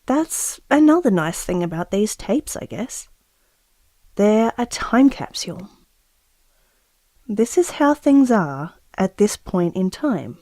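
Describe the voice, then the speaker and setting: A woman's voice: medium pitch, very animated, slow pace, warm tone, no echo, exaggerated voice. A woman acting a doting expectant mother who muses affectionately and wryly, as if taping an audio diary for her baby. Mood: reflective. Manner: affectionate, musing, wryly self-aware. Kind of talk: intimate audio diary monologue in a drama.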